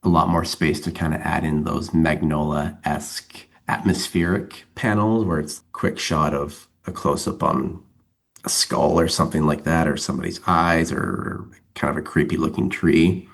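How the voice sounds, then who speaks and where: Very low, gravelly-voiced man chatting casually. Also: fairly even intonation, moderate pace. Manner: rambling, thinking aloud. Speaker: interview guest, comic writer. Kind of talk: casual interview chat.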